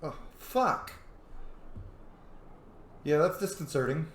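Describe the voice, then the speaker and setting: A man streams a roleplaying game with casual, joking commentary. His voice is fairly high and very lively, full of slangy reactions. The room around him sounds echoey. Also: takes it slowly, a little hiss or hum.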